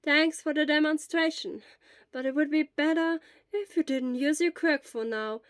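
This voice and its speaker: A woman's voice: sits high, moderate pace, dry recording, studio quality, voice pushed up, close to an everyday voice. A woman voicing a composed elderly lady nurse in a story, reassuring and grandmotherly in manner. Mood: calm, curious.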